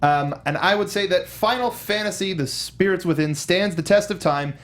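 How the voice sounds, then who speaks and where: A man with a slightly high voice co-hosts a film review show. He talks casually and in an animated manner, tossing in mock outbursts as he discusses movies. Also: normal speed.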